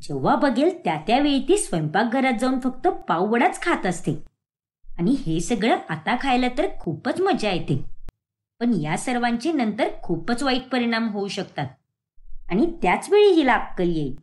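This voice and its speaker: A scolding older mother-in-law from a cartoon moral story, acted out by a woman. She nags sarcastically and sharply, with lively swings in pitch. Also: moderate pace. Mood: stern complaining. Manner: nagging, scolding, sharp-tongued. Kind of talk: animated moral story dialogue.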